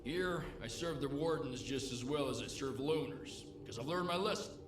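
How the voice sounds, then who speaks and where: A man playing a world-weary, pragmatic bartender in a lawless zone, with gruff, slangy video game dialogue. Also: medium pitch, normal speed, natural voice. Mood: resigned. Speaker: bartender character. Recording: roomy echo with noisy game ambience.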